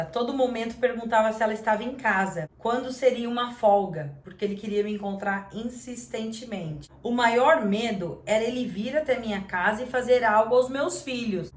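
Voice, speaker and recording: A woman's voice: on the high side, a little hiss or hum. A Brazilian woman hosting a channel, telling a story straight to her viewers in an indignant tone and reading out messages. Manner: indignant, talks straight to the audience. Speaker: channel host. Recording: roomy echo.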